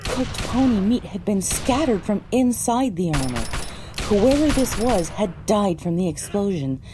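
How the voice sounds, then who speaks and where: A woman's voice: mid-range voice, big pitch swings, unhurried, noisy background. A woman delivering scripted, first-person narration for an audio drama.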